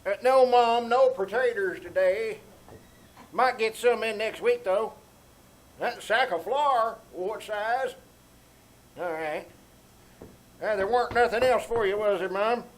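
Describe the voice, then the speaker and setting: A man's voice: high voice, takes it slowly, long pauses, rough recording. A man playing a querulous old country storekeeper with a Southern accent and folksy, rustic speech, in the style of 1940s radio comedy. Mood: folksy, obliging. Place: small-town theater stage, before an audience. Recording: live stage with background noise.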